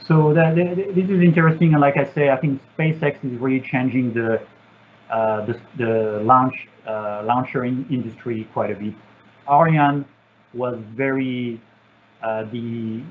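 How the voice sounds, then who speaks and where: A man from France with a French accent, an engineer answering student questions in a virtual talk, pausing often with fillers and restarts. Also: mid-range voice, a little hiss or hum. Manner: halting, many fillers and restarts. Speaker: satellite engineer. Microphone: video call. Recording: tinny, phone-like video call audio.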